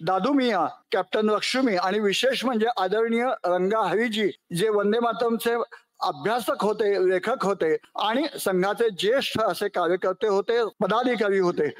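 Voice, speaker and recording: A man's voice: high-pitched, normal speed. A man giving a formal, ceremonious speech at a commemorative event. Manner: earnest, ceremonious, full of honorifics.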